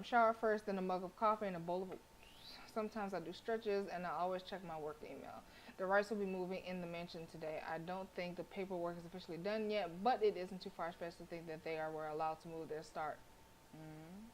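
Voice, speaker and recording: A woman streamer reading a visual novel aloud as expressive live narration. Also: lowish voice, a little hiss or hum, poor audio.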